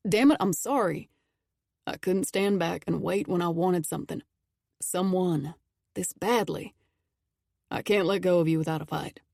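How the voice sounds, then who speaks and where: A woman reading the part of a calm, controlled man in an audiobook, speaking in a measured, soothing way. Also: on the low side, creaky, dry recording, studio quality. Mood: tender.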